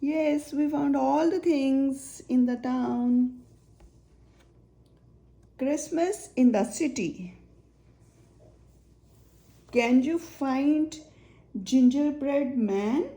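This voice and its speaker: A woman's voice: high-pitched, extremely slow, long pauses, mellow, rough recording. A woman reading a search-and-find picture book aloud for young children, naming items in short, simple phrases and asking questions.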